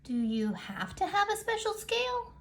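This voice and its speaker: A woman's voice: very high voice, big pitch swings, moderate pace, voice pushed up, full character voice. A woman voicing a small, lonely young male fish in a storybook, timid, earnest and pleading. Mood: hopeful, pleading.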